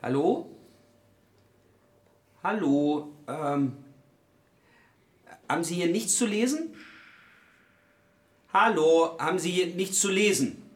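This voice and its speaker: A man's voice: a little high, lively intonation, slow, long pauses, breathy edge, exaggerated voice. An actor playing a restless, bored man in his forties, hesitant but insistent, in a radio play. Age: 40s. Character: restless, bored 40-year-old man, politely pestering strangers. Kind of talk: German radio play acting.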